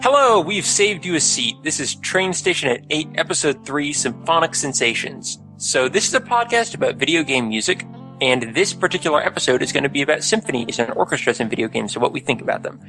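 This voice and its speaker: A man's voice: on the high side, lively intonation, brisk, bright, forceful tone, poor audio. A man hosting a podcast about video game music, chatting casually and teasing his guest with running jokes. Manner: jokey, teasing banter. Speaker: podcast host.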